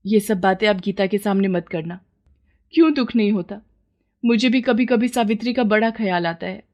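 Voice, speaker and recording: A woman's voice: mid-range voice, a little mellow, highs cut off, natural voice. A woman playing an emotional, guilt-laden housewife and mother in a story, speaking earnestly.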